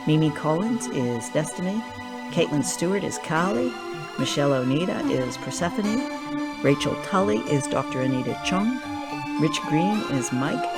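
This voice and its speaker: A woman reading scripted narration and credits as the narrator of an audio drama. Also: deep voice. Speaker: narrator, writer and director. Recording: loud background music.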